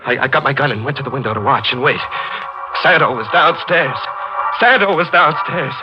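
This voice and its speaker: A man's voice: medium pitch, lively intonation, highs cut off, lightly acted. An actor playing a tormented, guilt-haunted man in a 1940s radio thriller, narrating and speaking with brooding intensity. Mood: feverish, desperate. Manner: brooding, intense, halting in dialogue. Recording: 1940s radio recording with music behind.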